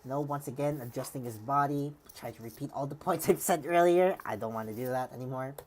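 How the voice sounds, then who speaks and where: A woman with a strikingly deep voice talks through a live stream, hesitant and rambling, full of uh, like and restarts. Her voice sounds a little worn, and a faint hiss sits under it. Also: a little fast, a little mellow. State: slightly worn voice. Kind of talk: live-stream reaction commentary.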